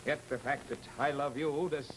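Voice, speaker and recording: An actor playing an earnest, melodramatic stage player in an old radio drama, with weighty delivery. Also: male voice, mid-range voice, fairly even intonation, normal speed, background noise, close to an everyday voice. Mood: grave, intense. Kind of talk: 1940s radio drama acting. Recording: vintage radio recording, music behind.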